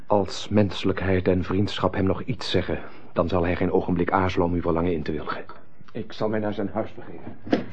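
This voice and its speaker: Earnest gallant major in an old radio play, deepish husky voice, muffled recording. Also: male voice, steady tone, fairly quick, a little mellow. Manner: formal, earnest, theatrical. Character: earnest, chivalrous army major pleading as loyal friend. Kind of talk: classic European radio drama acting.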